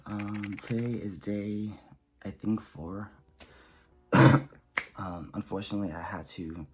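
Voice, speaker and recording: A man in his thirties, sick and hoarse, coughing and talking weakly into a phone he holds at arm's length in a small bedroom. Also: a little mellow. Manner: weak, low and halting. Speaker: vlogger. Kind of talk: casual self-recorded video diary. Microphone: phone held at arm's length.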